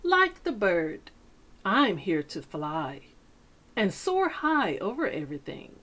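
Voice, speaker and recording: A woman reading a picture book aloud to children like a teacher, with deliberate, dramatic phrasing and pauses. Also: medium pitch, huge pitch swings, a bit bright. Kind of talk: expressive children's read-aloud.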